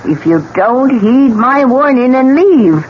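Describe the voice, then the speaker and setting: A grim older widow in a 1970s radio mystery. She speaks slowly and solemnly, as if every line were a warning. The recording is dull, with faint noise. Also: high-pitched, animated. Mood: ominous, insistent. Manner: slow, solemn, ominous delivery. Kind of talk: 1970s radio drama acting. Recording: muffled, noisy 1970s radio drama recording.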